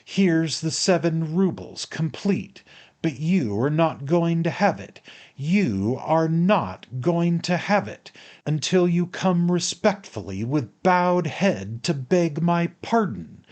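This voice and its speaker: A man voicing a proud, hysterical man who shouts emphatically and insistently at his servant in a dramatic audiobook. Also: lowish voice, expressive, unhurried, few pauses. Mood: furious, demanding. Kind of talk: dramatic audiobook character acting.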